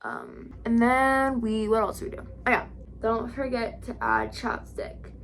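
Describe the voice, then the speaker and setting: Raspy girl doing a chill makeup tutorial at home, lots of "like", keeps correcting herself. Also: fairly high pitch, measured pace, fairly bright tone, soft background music. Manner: rambling, self-correcting, lots of "like". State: raspy today. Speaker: vlogger.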